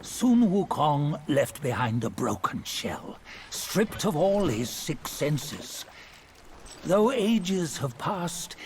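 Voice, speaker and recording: An actor playing a solemn, wise old mentor in a fantasy game, speaking in a grave, deliberate, formal and creaky way. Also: male voice, a little high, slight background noise, full character voice. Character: solemn, wise old male mentor guiding a chosen hero. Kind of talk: scripted fantasy game acting.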